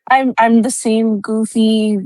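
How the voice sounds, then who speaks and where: Young female guest, slow relaxed delivery, giggly, dry close mic. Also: on the high side, no echo. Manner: relaxed, soft-spoken, giggles often. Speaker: guest, streamer and mother. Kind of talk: casual interview chat.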